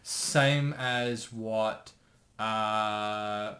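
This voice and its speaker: A man co-hosting a casual reaction chat, speculating and thinking aloud in a halting way. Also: lowish voice, fairly even intonation, sharp tone. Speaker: co-host, anime specialist. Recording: roomy, echoey sound.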